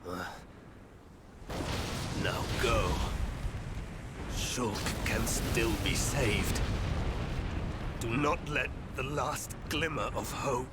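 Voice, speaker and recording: An actor playing a dying, noble, dutiful brother in a video game, grave and halting, straining for breath. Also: male voice. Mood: urgent resolve. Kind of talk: dramatic video game acting. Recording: loud battle rumble and explosions.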